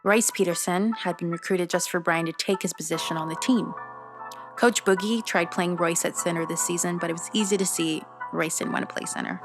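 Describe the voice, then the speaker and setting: A young woman playing an earnest, analytical teenage girl detective, narrating a scripted audio drama in a measured, matter-of-fact way. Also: on the low side, natural voice. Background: prominent music.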